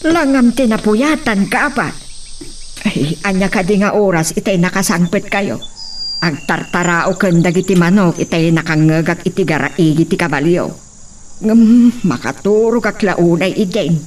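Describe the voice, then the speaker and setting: A woman's voice: lowish voice, moderate pace, close to an everyday voice. A woman with an Ilocano accent playing a deferential, motherly older household servant in a radio drama. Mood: concerned. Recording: distant mic, faint cricket noise.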